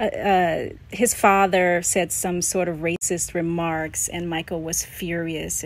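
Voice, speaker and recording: A middle-aged woman thinking aloud in a halting, casual way as she comments on a scrapbook for a vlog. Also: fairly low pitch, husky. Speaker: vlogger, memoir author.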